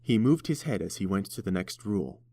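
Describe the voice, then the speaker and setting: A man's voice: on the low side, lively intonation, brisk, gravelly, mellow, breathy edge. A man reading scripted fiction aloud as the narrator of an audiobook.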